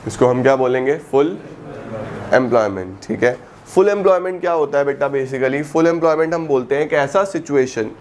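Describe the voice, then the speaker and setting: A man teaching an economics class. He keeps asking the students questions and answers them himself, mixes Hindi with English terms, stretches out key words slowly and calls his students "beta". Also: medium pitch, darkish tone. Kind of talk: recorded classroom lecture.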